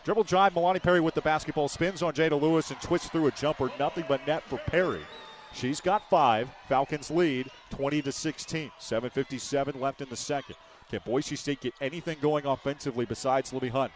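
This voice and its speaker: A man's voice: a little high, a little fast. A man doing live radio play-by-play of a basketball game in clipped, descriptive phrases.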